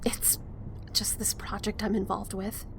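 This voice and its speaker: A woman's voice: mid-range voice, level tone, unhurried, creaky, noisy background. A young woman playing a guarded, preoccupied woman in an audio drama, speaking hesitantly.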